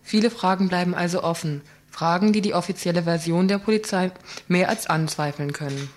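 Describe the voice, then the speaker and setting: A woman's voice: on the low side, brisk. A woman reading a scripted report on a community radio news program, earnest and questioning, with small slips.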